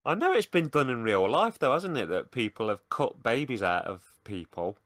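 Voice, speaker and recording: A man's voice: mid-range voice, big pitch swings, normal speed, fairly bright tone, no room sound. A man co-hosting a podcast, talking casually in a dry, matter-of-fact way. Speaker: podcast co-host. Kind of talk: casual chat.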